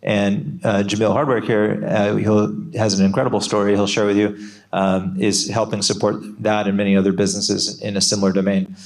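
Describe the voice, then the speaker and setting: A man moderating a panel discussion on stage, speaking in a relaxed, conversational way with frequent fillers. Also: on the low side, moderate pace, poor audio.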